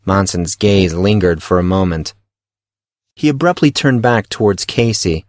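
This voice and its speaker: A man's voice: sits low, lively intonation, unhurried, dry recording, clean studio sound. A man reading scripted narration for a fantasy audio story as its narrator.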